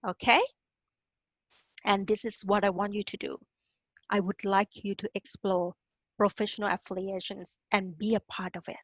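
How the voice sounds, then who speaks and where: A woman's voice: medium pitch, dry recording, lo-fi sound. A woman from Thailand coaches students through a career webinar in a mid-range voice. She speaks directly and encouragingly and keeps checking in with her listeners. The sound is dry and close, with no room around it. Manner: encouraging, direct, tags lines with "okay?". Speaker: career advisor presenting a webinar.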